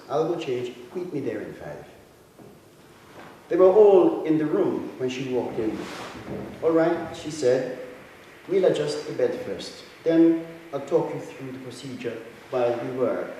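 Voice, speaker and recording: A man giving a measured, deliberate reading of literary prose, with dramatic phrasing and pauses, as an author reading a short story. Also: medium pitch, warm tone. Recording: echoey hall, distant mic, faint noise.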